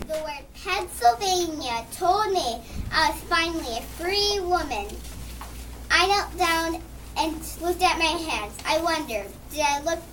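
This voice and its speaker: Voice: extremely high pitch, recorded from a distance, noisy background. -. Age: child.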